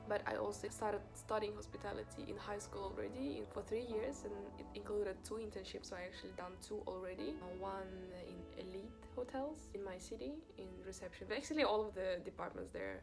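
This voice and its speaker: A woman in her twenties from Russia, chatting casually as she reads out questions and answers them about herself in a vlog. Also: medium pitch. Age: 20s. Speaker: vlogger answering viewer questions. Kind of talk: casual self-introduction Q&A. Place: small apartment indoors. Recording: music playing clearly behind the voice.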